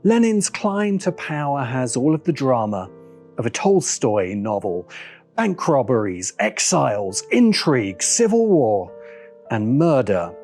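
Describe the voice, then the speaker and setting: A man narrates a scripted video essay like a documentary. His mid-pitched voice moves expressively, with deliberate dramatic pauses for emphasis, over faint music. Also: sing-song, darkish tone. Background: background music.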